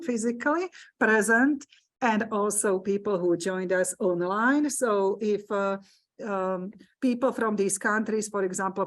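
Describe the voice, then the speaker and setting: A woman with a Slovak accent, a native Slovak speaker, giving a genealogy lecture to a room audience and online viewers, talking hesitantly with many fillers. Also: mid-range voice. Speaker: genealogy presenter. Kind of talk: hybrid library lecture. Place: meeting room with live audience.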